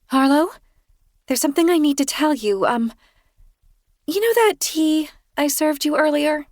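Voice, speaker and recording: A woman reading a novel aloud, voicing a bubbly, flustered young woman in a high, gushing voice. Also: expressive, measured pace, darkish tone, no echo, clean studio sound. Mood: apologetic gushing.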